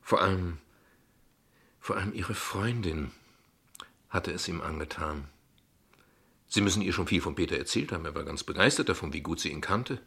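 A shrewd ironic dad in a radio play, husky and sharp, talks very pointed and polite. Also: male voice, sits low, normal speed, long pauses, full character voice. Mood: sly, calm. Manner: eloquent, pointed, condescendingly polite. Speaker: actor. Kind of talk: dialogue acting in a German radio drama.

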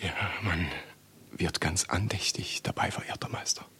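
Husky, obsequious amateur sleuth. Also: male voice, low-pitched, steady tone, normal speed, pushed and bright, in a whisper, full character voice. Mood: reverent, confessional. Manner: formal, deferential, long elaborate sentences. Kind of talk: German radio play acting.

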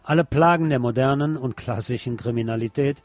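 Mature male radio host, medium pitch, deliberate review delivery with music underneath. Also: no echo. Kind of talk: spoken review on a radio show.

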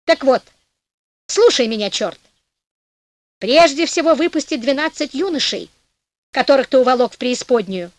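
A woman's voice: high voice, expressive, normal speed, pauses often, no echo. A woman voicing a bold, cheerful young male hero who gives orders and teases. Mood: commanding. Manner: commanding and mocking.

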